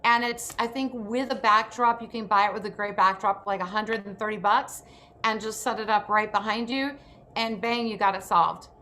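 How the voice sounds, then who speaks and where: A woman's voice: mid-range voice, steady tone, normal speed, pushed and bright, a little hiss or hum. A woman hosting and instructing in an online session, giving practical advice in a direct, decisive way. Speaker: program host and instructor.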